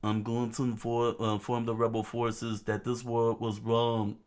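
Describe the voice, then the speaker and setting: A man's voice: mid-range voice, completely flat delivery, unhurried, a little mellow, smooth, pitched above the performer's own voice, lightly acted. A man voicing an earnest male rebel leader, pleading for peace.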